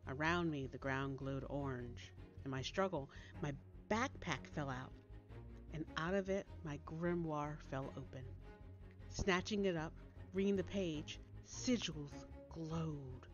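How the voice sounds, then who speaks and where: A woman reading a story aloud as a dramatic, deliberate narrator with theatrical delivery. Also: low voice, dull audio. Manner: deliberate, theatrical, dropping to hushed tones. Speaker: author reading own story. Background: soft background music.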